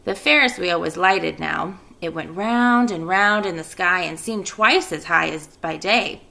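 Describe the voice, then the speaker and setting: Bright, creaky-voiced woman teacher. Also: on the low side, measured pace, poor audio. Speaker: elementary school teacher. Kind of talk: children's read-aloud and casual class announcements.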